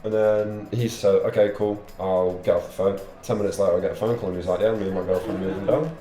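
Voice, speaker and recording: A man in his twenties from Poland, a band guitarist telling stories in a relaxed, casual interview. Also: on the low side, quick pace. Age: 20s. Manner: relaxed storytelling, laughs easily. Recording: echoey room with music behind.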